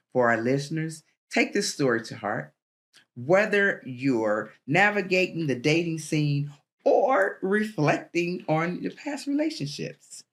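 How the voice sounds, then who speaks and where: A woman in her sixties hosts an interview podcast. She keeps up a steady stream of affirmations while her guest talks, then reads a scripted sign-off. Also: extremely low pitch. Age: 60s. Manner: warm affirmations, reads closing remarks. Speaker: podcast host.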